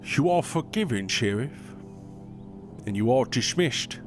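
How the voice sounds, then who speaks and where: A man's voice: medium pitch, lively intonation, a little slow, music in the background, natural voice. A man playing a stern royal maester. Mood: stern, authoritative. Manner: formal, authoritative, clipped. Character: stern male royal advisor, proud and commanding. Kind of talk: audio drama acting.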